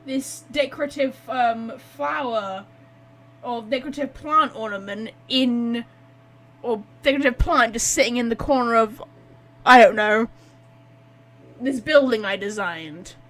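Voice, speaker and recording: A woman giving casual, expressive gameplay commentary as a streamer, teasing and informal. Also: on the high side, measured pace, low-quality recording. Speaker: streamer reacting to a game story.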